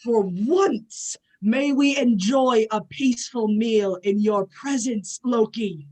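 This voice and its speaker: A woman's voice: fairly high pitch, takes it slowly, darkish tone. A woman playing a courteous but short-tempered male god with formal, grandiose speech in a play reading. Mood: weary formal.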